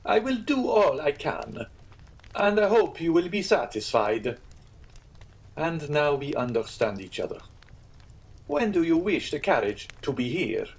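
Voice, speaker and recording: A man's voice: a little high, sing-song, a little slow, creaky, long pauses, slightly rough, voice pushed up, heavily acted, rough recording. A man voicing a fawning middle-aged Italian innkeeper in an audiobook reading, with an eager, obsequious manner.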